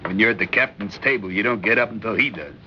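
A skeptical, sardonic sailor in an old radio play. His voice is on the low side and he grumbles drily. The recording is muffled and noisy. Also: fast talker, sharp tone. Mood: skeptical, grumbling. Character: skeptical, grumbling navy crewman. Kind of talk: 1960s radio drama acting.

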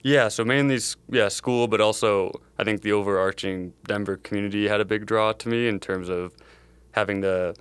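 A young man from the Chicago area speaks in a low, level voice, strikingly clean and resonant, recorded close and dry. He answers like a startup founder in a casual interview, thinking aloud in a meandering way. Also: steady tone, normal speed, a bit bright, clean tone, dry recording. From Chicago area, USA. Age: young adult. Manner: thoughtful, meandering phrasing.

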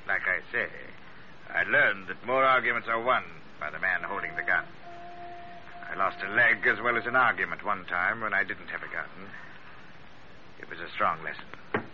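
An actor playing a menacing middle-aged schooner captain with a curt, domineering, deliberate way of threatening, in 1940s radio drama style. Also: male voice, low-pitched, natural voice. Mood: insistent, threatening. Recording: noisy, dull vintage radio recording.

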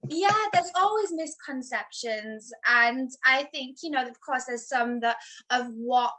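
A woman with a notably high-pitched, bright voice, chatting as an interview guest. She is blunt and open, and she laughs easily between her points. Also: measured pace, rough recording. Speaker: interview guest, adult performer. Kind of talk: casual interview chat.

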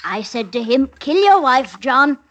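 Husky, scheming village wife. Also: female voice, sits high, sing-song, unhurried, a bit bright, heavily acted. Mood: cosy confessing. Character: scheming English village maidservant turned murderous young wife. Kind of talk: 1950s radio drama acting.

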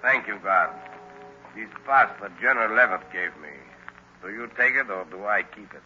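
Muffled old radio, cold male professor, low, curt, measured. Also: pushed and bright, a little hiss or hum. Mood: cool, curt. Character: cold, curt foreign inventor professor visiting a prisoner. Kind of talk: 1930s radio drama acting. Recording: muffled 1930s radio recording.

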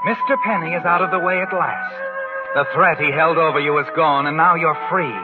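An actor playing an ominous, knowing narrator in a 1940s radio drama, addressing the doomed character in a taunting, dramatic way. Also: male voice, a little high, fairly quick, natural voice. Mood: knowing, sardonic. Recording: tinny old radio broadcast, loud music.

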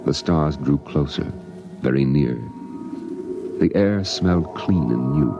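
Solemn male storyteller, extremely low voice, vintage science fiction radio play, measured delivery over prominent music. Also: exaggerated voice. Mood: solemn, atmospheric. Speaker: narrator. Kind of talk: 1950s radio drama narration. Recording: muffled old radio recording, music behind.

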